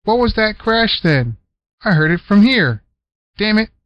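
A man voicing a grumpy, balding older uncle who snaps and teases in a gruff manner, in a storybook reading. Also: sits high, big pitch swings, measured pace, no echo, lo-fi sound, voice pushed up. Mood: gruff, scolding.

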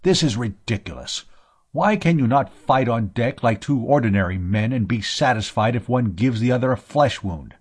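A man's voice: medium pitch, lively intonation, moderate pace, husky. A man voicing an exasperated ship captain, commanding and indignant, in an audiobook. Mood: indignant protest. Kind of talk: audiobook character voice.

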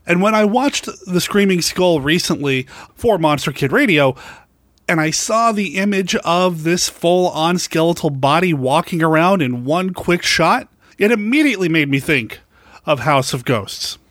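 Higher-voiced man recommending old movies, dry sound. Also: expressive, unhurried, fairly bright tone, no echo. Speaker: podcast contributor reviewing classic horror films. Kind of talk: enthusiastic scripted film recommendation.

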